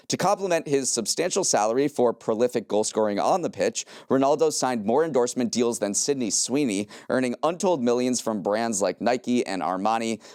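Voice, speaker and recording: A man's voice: mid-range voice, a little mellow, clean tone, no echo. Podcast co-host, male, American accent, mid-range voice, wry scripted news delivery, tight dry recording. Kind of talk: scripted news recap with light banter.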